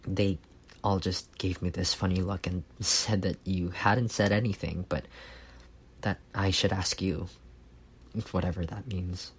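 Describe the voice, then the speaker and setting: Slow, low-voiced reserved young man acted by a man. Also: steady tone, slight background noise. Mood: subdued, pensive. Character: reserved, analytical young man confessing love to best friend. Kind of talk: intimate ASMR roleplay acting.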